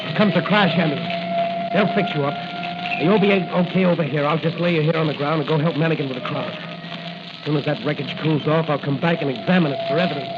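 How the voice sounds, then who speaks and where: Through heavy noise on a muffled old radio recording comes a man acting as a young rookie patrolman. He is fairly high-voiced, brisk, earnest and brave, in 1940s drama style. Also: close to an everyday voice. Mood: urgent, brave.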